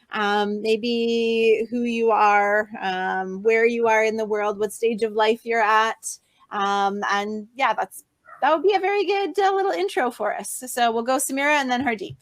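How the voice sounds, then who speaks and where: A woman hosting an online panel, enthusiastic and chatty with lots of fillers, on a video call. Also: fairly high pitch. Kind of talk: upbeat live-event hosting.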